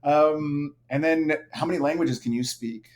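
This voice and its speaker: A man's voice: on the high side, a little slow, a little mellow. A man interviewing a guest on a podcast, encouraging him with short affirmations and light laughs. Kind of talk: casual interview chat.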